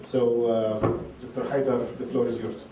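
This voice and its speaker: A man moderating a session, introducing the next speaker hesitantly with many pauses. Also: mid-range voice, little pitch movement, background noise. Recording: echoey room, distant mic.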